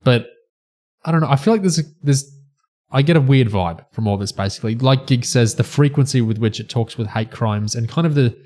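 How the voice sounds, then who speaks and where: Mid-pitched man discussing on a podcast. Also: a little fast, darkish tone. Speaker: podcast panelist. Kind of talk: casual analytical discussion.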